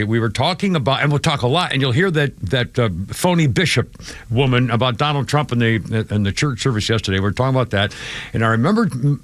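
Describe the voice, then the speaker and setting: A man's voice: mid-range voice, a bit bright, no room sound. An older man from New England hosting a radio talk show, rambling through stories with many restarts and laughs in a studio. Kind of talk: casual radio talk and storytelling. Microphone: studio microphone.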